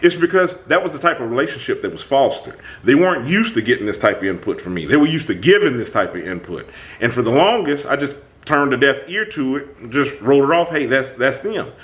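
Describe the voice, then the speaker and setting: A man hosting his own channel, talking through a personal conflict in an emphatic, animated way. His voice is booming, and he often restarts his sentences. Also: a little fast. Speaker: channel host. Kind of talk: reflective self-talk monologue.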